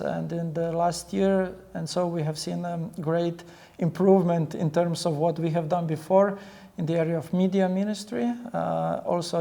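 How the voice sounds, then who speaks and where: Gravelly, even-toned male pastor. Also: on the high side. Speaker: pastor, interview guest. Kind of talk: earnest interview answers.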